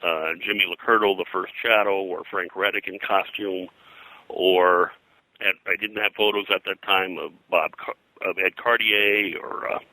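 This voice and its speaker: A man's voice: deep voice, steady tone, normal speed, sharp tone, no echo, rough recording. An older man, a guest historian, talking informally in a detailed, digressive way. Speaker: guest, pop culture historian. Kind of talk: informal interview, recounting details.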